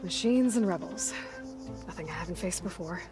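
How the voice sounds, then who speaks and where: Actress as a low-voiced, blunt young warrior woman. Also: normal speed, over music, close to an everyday voice. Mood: firm, dry. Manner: direct, questioning, dry. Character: self-assured, blunt young woman warrior, wary and dry. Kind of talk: naturalistic video game acting.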